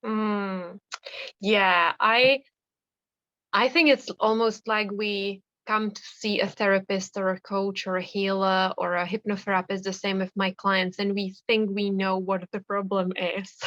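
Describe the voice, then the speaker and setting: Woman, 30s, Czech accent, hypnotherapist guest, chatty, animated, dry close sound. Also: mid-range voice, a little slow, dry recording. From Czech Republic. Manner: chatty and animated, laughs often. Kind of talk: casual interview storytelling.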